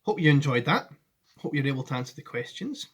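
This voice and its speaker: A man's voice: mid-range voice, expressive, brisk, breathy edge. A man in his forties, a teacher reading a children's story expressively and addressing his pupils in a friendly way at home. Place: living room at home. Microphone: device camera at arm's length.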